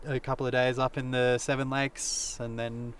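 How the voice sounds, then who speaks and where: A young man answers in a relaxed, hesitant way, full of fillers. He stands a little off from the camera mic outdoors, with faint ambient noise around him. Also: medium pitch, normal speed, far from the mic. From Australia. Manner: laid-back, hesitant, many fillers. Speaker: interviewed traveler. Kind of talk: casual interview. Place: outdoors by a mountain lake.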